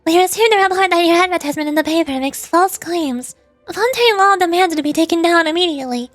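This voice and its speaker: A woman with a high voice reads game dialogue aloud as a streamer. Her delivery is playful, expressive and cartoonish, with faint music behind her. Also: quick pace, dry recording. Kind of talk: playful game read-along with amateur voice acting.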